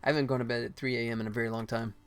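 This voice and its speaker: A man's voice: medium pitch, little pitch movement, racing, clean tone, faint music behind. A man chatting casually as a livestream host and indie developer, rambling and thinking aloud while he works.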